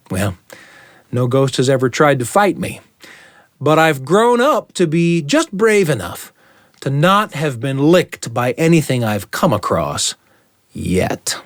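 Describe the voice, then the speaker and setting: A middle-aged man tells a story in a dry, close recording. His pitch moves widely as he builds suspense, with deliberate pauses and a dramatic delivery. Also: no room sound. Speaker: storyteller and show host. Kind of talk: dramatic first-person oral storytelling.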